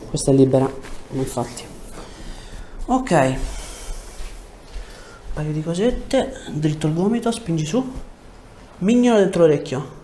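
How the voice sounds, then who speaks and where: Mellow-voiced man giving chiropractic instructions. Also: fairly high pitch, a little slow, long pauses, roomy sound, a little hiss or hum. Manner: short, calm instructions. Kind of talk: hands-on treatment talk with a patient. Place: indoor chiropractic treatment room.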